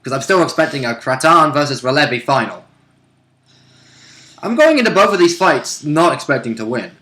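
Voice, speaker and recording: A man's voice: on the high side, very animated, normal speed, bright, forceful tone. A man who plays in a tabletop role-play game, chatting casually and matter-of-factly as he recaps how it went.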